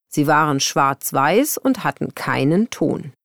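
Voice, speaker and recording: A woman with a lower voice reading prepared texts, her pitch moving a lot as she speaks. The sound is dry and close-miked. She delivers each line clearly. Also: takes it slowly, dry recording. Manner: lively, clear scripted delivery. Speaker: exam recording reader. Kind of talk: scripted reading, playing an interviewer.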